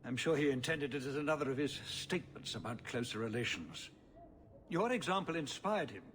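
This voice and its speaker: A careworn older nobleman, acted by a man, speaks with a widely moving pitch in a fantasy game. He is formal and stately, a troubled ruler making his case, over faint noise. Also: expressive, brisk, fairly bright tone, natural voice. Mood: weary, diplomatic. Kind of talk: video game cutscene acting.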